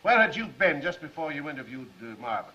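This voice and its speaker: A shrewd, coolly insistent district attorney with a bright, forceful, fairly high voice. He questions deliberately and with pointed politeness, in the manner of 1930s film acting, on a muffled old soundtrack. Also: big pitch swings. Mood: pointedly probing.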